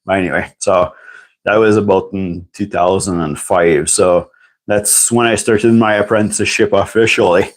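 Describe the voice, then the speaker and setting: A man's voice: low voice, fairly even intonation, moderate pace. A man, chatting casually on a livestream and answering viewer questions. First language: French. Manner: rambling and chatty, answers viewer questions, clears throat often. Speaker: livestream host.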